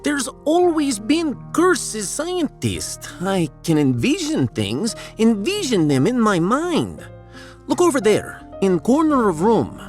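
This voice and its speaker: A man's voice: high-pitched, huge pitch swings, takes it slowly, a little mellow, soft background music, full character voice. A man playing a fretful, self-doubting, dreamy scientist with a Russian accent in a theatrical sci-fi audio drama. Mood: dreamy, awed.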